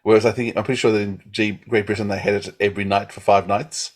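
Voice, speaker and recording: A man's voice: lowish voice, level tone, quick pace. A man co-hosting a podcast, chatting casually and emphatically, often repeating himself. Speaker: podcast co-host. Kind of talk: casual chat.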